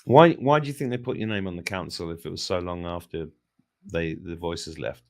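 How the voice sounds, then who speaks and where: Low-voiced man in conversation. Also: a little fast, a little mellow. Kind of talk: casual conversation.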